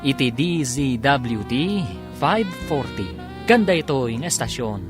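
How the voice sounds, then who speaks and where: A man's voice: medium pitch, expressive. Theatrical male Ilocano radio drama announcer. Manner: theatrical, drawn-out title calls. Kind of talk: dramatic scripted radio narration. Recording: radio narration over guitar music.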